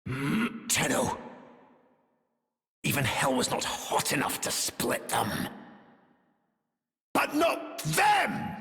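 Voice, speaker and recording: An actor playing a grandiose, bitter, scheming tyrant in a video game, theatrical and pompous, often dropping toward whispers. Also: male voice, medium pitch, a bit bright, heavily acted. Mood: bitter rage. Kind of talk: scripted video game voice acting. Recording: long hall-like reverb.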